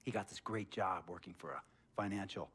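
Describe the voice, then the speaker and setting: Eccentric wealthy boss, middle-aged, controlling and slick, theatrical storyteller for a game cutscene. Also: male voice, low voice, fairly quick, husky, heavily acted. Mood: reflective. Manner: theatrical, storytelling, swinging from hushed to emphatic. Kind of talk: dramatic game cutscene monologue.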